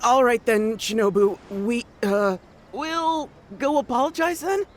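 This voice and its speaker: An actor voicing a boisterous but easily cowed young gang underling in a video game, excitable and eager. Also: medium pitch, measured pace, lightly acted. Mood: nervous, sheepish. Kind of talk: English video game voice acting. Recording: clean studio voice over game music.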